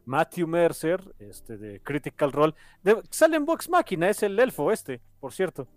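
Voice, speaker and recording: Man, Mexican accent, podcast host, rambling, eager, slightly high-pitched. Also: big pitch swings, normal speed, no echo, studio quality. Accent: Mexican Spanish. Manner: rambling, enthusiastic, digressive. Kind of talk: casual podcast chat.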